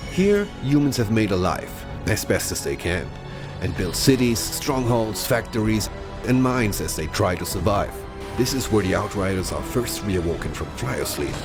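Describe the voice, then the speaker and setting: A man narrates a scripted game showcase as its presenter. His voice is low with animated intonation, measured and explanatory, with music playing clearly behind him.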